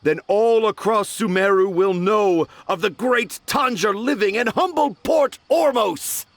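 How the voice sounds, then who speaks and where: An actor playing a self-important children's storyteller in a video game, speaking in flowery, theatrical phrases. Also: male voice, high voice, a little slow, no room sound. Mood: boastful, grand. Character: dramatic, self-important male children's storyteller with lofty dreams.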